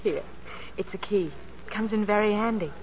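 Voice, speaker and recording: A woman's voice: mid-range voice, moderate pace, close to an everyday voice. An actress playing an effusive, teasing young woman who is a sanatorium patient, in 1940s radio drama style. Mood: giddy, confiding. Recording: muffled, noisy 1940s radio broadcast.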